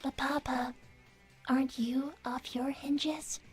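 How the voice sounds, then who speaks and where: A woman playing an earnest, devoted young artificial-intelligence daughter, soft and breathy, speaking politely and precisely in livestream banter. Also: high voice, fairly even intonation, slow pace, soft background music. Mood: innocently prodding. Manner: polite, precise, matter-of-fact. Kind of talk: in-character improvised livestream banter.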